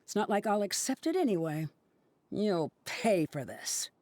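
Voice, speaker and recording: An actress playing a furious young researcher in a video game, bitter and accusing. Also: female voice, mid-range voice, big pitch swings, unhurried, a little mellow, dry recording.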